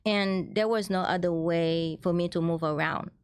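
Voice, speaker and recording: A woman's voice: on the low side, fairly even intonation, normal speed, clean tone, no echo, clean studio sound. A middle-aged woman from Haiti, a guest in a casual interview, talking earnestly with many restarts. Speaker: guest, transit ambassador.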